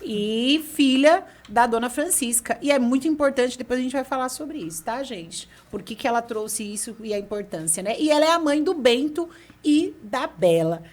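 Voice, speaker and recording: A woman's voice: sits high, expressive, normal speed. A woman hosting a podcast, chatting in a bubbly, talkative way and laughing easily. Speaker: podcast host. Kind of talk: casual chat.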